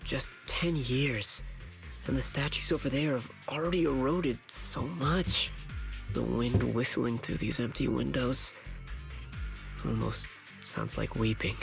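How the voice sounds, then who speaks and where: A man's voice: medium pitch, fairly even intonation, slow pace, vocal fry. A man voicing a thoughtful, wistful young disciple in a video game, with music behind him. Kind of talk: scripted game voice acting.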